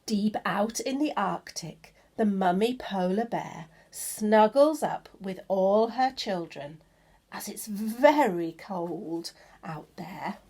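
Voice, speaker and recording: A woman's voice: medium pitch, lively intonation, slow pace, a little mellow, husky. A woman reading a children's picture book of verse aloud, stretching words for emphasis and pointing things out to young listeners.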